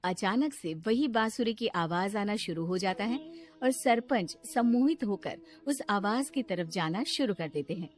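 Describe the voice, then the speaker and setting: A woman's voice: medium pitch, expressive, normal speed, no echo, soft background music. A woman reading scripted narration for a children's cartoon story.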